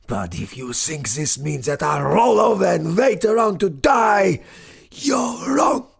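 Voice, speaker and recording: A man's voice: a little high, wildly swooping pitch, drawn-out words, creaky, husky, voice pushed up, heavily acted. A man voicing a volatile, furious older male professor who rants and curses at the top of his voice. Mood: bitter, despairing. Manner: ranting, cursing, shouting.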